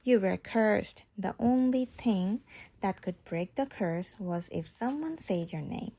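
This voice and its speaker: A woman reading a storybook aloud in an informal, expressive way, pausing to explain and check that the listener is following. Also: mid-range voice.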